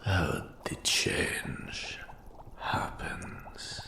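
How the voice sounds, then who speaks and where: A man voicing an ancient, scholarly specter who mentors an apprentice in a fantasy audio roleplay, speaking in a whispery, gravelly rasp with slow, formal, archaic phrasing. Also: pushed and bright, roomy sound. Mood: eerie, scholarly fascination. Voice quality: whispery, gravelly, breathy rasping gasps. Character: eldritch accursed specter, ancient scholarly male mentor of curse magic.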